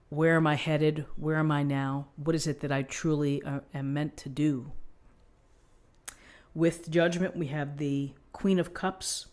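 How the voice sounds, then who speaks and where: A woman giving an unscripted tarot reading, explaining things in a gently encouraging manner. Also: low-pitched. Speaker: tarot reader.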